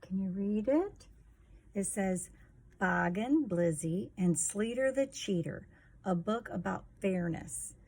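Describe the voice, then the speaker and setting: Female storytime reader, unhurried and expressive, creaky husky tone, rhythmic read of rhyming picture-book lines. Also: mid-range voice.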